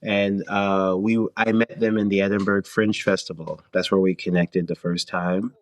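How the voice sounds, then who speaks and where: A man from Canada talking casually as an interview guest, a performer thinking aloud in short, halting phrases. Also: sits low, flat delivery, dry recording. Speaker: guest, singer-songwriter and theater performer.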